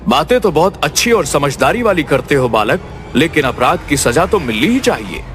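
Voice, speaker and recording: A man voicing a stern but fair king who gives judgments in a cartoon story, speaking in a measured, commanding way. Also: a little high, big pitch swings, a bit bright, faint music behind. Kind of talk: dubbed cartoon acting.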